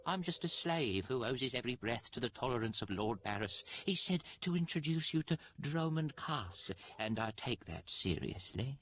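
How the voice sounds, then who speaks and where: A fawning, fearful slave from a video game. His mid-range voice lurches up and down as he wheedles, servile and halting, desperate to please. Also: mid-range voice, lively intonation, a bit bright. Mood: anxious eagerness. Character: cringing, fawning slave, timid and eager to please.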